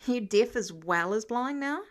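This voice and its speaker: Casting: woman as snide teen bully classmate, mocking, audiobook dialogue, highish voice. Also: expressive, measured pace. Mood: taunting. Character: snide, taunting teenage boy classmate.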